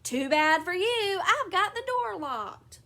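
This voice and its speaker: A woman voicing a rude, teasing father in a storybook who taunts and shouts like a stubborn child. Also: high-pitched, lively intonation, takes it slowly, exaggerated voice. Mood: gloating.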